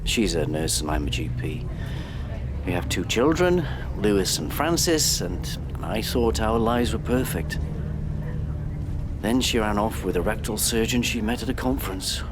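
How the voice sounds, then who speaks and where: An actor playing a reluctant, exasperated middle-class doctor in an audio play, speaking in a stiff, hesitant, polite way. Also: male voice, animated, a little slow. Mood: weary, resigned. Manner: hesitant, terse, weary. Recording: noisy, car rumble behind.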